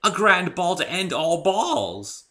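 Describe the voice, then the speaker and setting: A man voicing a refined, theatrical female unicorn fashion designer with genteel, drawn-out emphasis in a story reading. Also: high-pitched, very animated, bright, forceful tone, voice pushed up, heavily acted. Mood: proud, dramatic.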